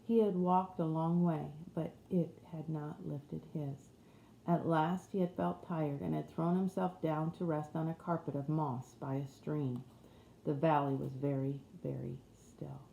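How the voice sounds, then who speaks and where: A woman reads a storybook in a soft-edged, mellow tone. The room adds clear echo, and a faint noise sits behind her. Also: sits low, flat delivery, a little slow. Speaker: audiobook reader. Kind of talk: scripted storybook narration.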